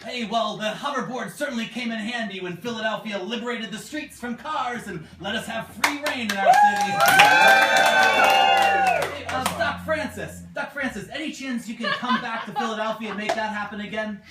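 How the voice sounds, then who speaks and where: A woman's voice: fairly high pitch, sing-song, moderate pace. A woman playing an earnest, exasperated teenage son in an amateur puppet show, exclamatory and repeating words. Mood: eager, puzzled. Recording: distant mic, echoey very noisy room.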